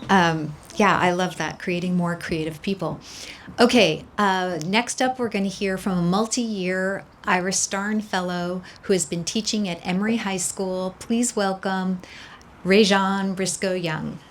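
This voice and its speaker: A woman hosting a small event in a home, briskly introducing the next speakers. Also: mid-range voice, fairly even intonation, slight background noise. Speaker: event host. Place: private home.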